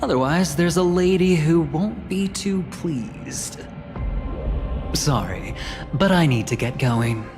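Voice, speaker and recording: A man playing a sly, breezy envoy in a video game, smooth-talking and airy. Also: a little high, lively intonation, takes it slowly. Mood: airy, coy. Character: sly, breezy envoy who flatters his way out. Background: game music.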